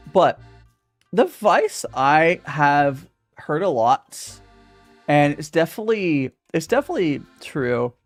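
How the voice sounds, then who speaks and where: A young man hosting a casual livestream Q&A, reading viewer questions aloud and answering with hesitant, stop-start phrasing full of restarts. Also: fairly high pitch. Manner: hesitant, many restarts, reads chat aloud.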